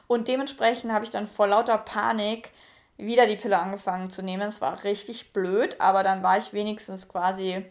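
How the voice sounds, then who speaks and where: A young woman in her late twenties talks straight to her viewers in a relaxed, confiding way. Her voice sits at an ordinary middle pitch. She speaks frankly and loosely, with plenty of fillers and small restarts. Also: brisk. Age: 20s. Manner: chatty, frank, many fillers. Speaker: YouTuber. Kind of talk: casual personal vlog.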